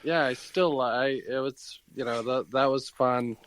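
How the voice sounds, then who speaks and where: A man co-hosting a podcast, chatting casually in a halting, conversational way. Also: medium pitch, fairly even intonation, a bit bright, low-quality recording. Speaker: podcast co-host.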